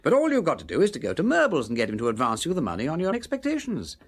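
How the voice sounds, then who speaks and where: An actor playing an aristocratic English gentleman detective in a radio drama, with a husky voice, an affected chatty drawl and an upper-class English accent. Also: fairly high pitch, wildly swooping pitch, very fast, full character voice. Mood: casual, reassuring. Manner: affected silly-ass drawl with tag questions. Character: aristocratic gentleman sleuth, breezy, chatty, shrewd underneath.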